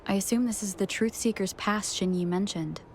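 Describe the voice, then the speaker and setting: A woman playing a calm, inquisitive young adventurer in a video game, with a plain, inquiring delivery. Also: medium pitch, fairly quick, slight background noise, close to an everyday voice. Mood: curious. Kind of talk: scripted video game character dialogue. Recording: voice over faint game music.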